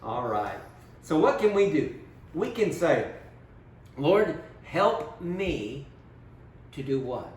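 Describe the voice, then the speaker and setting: A man's voice: a little high, very animated, slow, long pauses. A man giving a children's Bible lesson as storyteller, speaking simply and instructively and putting questions to small children. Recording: roomy, distant mic with background noise.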